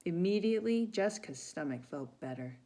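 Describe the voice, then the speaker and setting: A woman reading a children's story aloud expressively, pausing to chat with young listeners. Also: on the low side, animated, warm tone. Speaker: volunteer storybook reader for children.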